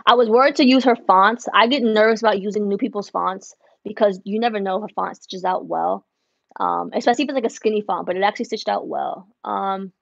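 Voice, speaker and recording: A woman's voice: mid-range voice, steady tone, fairly quick, vocal fry, no echo, muffled recording. A young woman whose first language is Spanish, chatting casually on a livestream from her embroidery studio, switching between English and Spanish. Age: young adult. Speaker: embroidery business vlogger. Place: embroidery studio room, indoors. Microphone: wireless earbuds.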